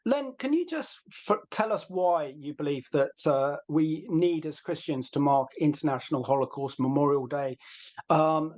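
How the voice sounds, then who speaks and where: Higher-voiced man hosting, halting delivery. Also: darkish tone. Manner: hesitant, halting delivery with many ums. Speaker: webinar host and interviewer. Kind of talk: hosting an online webinar.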